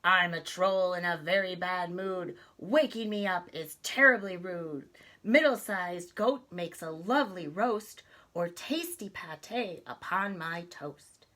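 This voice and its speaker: Lady doing a cranky rude troll guy for a kids story, loud, slow, threatening in rhyme. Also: fairly low pitch, animated, exaggerated voice. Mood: angry, menacing. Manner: loud, rhyming, threatening delivery. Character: grumpy, rude, menacing male bridge troll in storybook.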